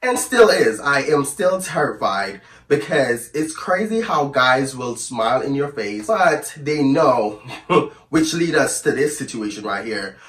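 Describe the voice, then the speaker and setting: Hoarse man, sassy chatty storyteller, roomy echo, mic set back. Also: medium pitch, big pitch swings, measured pace, fairly bright tone, distant mic. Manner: chatty, sassy, calls viewers "girl", loose rambling retelling. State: hoarse from flu. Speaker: storyteller vlogger. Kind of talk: casual storytime chat to camera.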